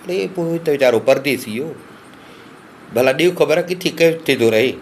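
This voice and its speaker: A man's voice: a little high, animated, unhurried, a little hiss or hum. A man narrating a long folk tale in first person, dramatic and emotive. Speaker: storyteller. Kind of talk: oral storytelling.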